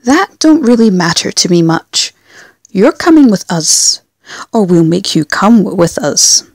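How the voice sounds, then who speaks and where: A woman's voice: medium pitch, lively intonation, a little slow, a little mellow, no room sound, clean studio sound. A woman playing a smug, threatening gang leader in an audio drama, sardonic and menacing with a drawl. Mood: smug menace.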